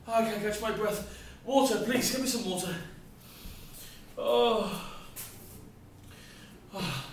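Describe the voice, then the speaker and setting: A man's voice: high-pitched, slow, pauses often, a little hiss or hum. An actor playing a harried, overworked family man who is desperate and out of breath, pleading and bursting into rants. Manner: pleading, gasping, ranting in outbursts. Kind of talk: theatrical stage acting. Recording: echoey hall from far off, faint ambience.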